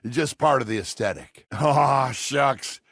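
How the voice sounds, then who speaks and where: A man voicing a folksy, eager-to-please ice cream server in a video game, with homespun, expressive delivery. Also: mid-range voice, slow, gravelly, breathy edge, dry recording, clean studio sound, full character voice. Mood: cheery, welcoming. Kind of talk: scripted video game character dialogue.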